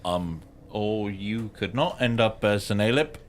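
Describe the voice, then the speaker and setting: A man's voice: on the low side, a little slow, a little hiss or hum. A man chatting casually as a tabletop game player, hesitantly doubling up his words. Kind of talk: casual improvised game chat.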